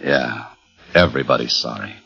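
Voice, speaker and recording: An actor playing a troubled, tough police detective in vintage radio crime drama, with clipped hard-boiled delivery. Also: male voice, extremely low pitch, moderate pace, gravelly, husky, heavily acted. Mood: defiant, bitter. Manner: hard-boiled, clipped first-person narrating and dialogue. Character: troubled tough police detective whose wife is accused of murder. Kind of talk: 1940s-50s radio crime drama acting. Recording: dull vintage radio recording with loud music.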